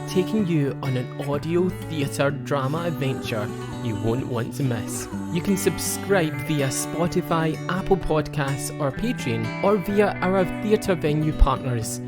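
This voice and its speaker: Promo announcer: woman, lower-middle pitch, scripted advert read over prominent guitar music. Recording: loud guitar music bed. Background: loud plucked guitar music.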